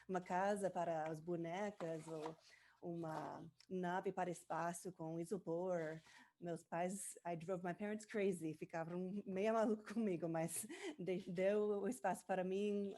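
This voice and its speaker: A woman's voice: on the low side, warm tone. A woman from the United States with an American accent, a native English speaker leading a live online workshop. She switches between Portuguese and English and often hesitates while searching for words. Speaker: workshop presenter.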